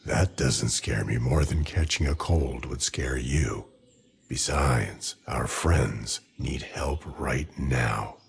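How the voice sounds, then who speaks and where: An actor playing an earnest, resolute ancient non-human male being in a fantasy game, speaking formally in gravelly measured phrases. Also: a bass voice, exaggerated voice. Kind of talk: fantasy game cutscene acting.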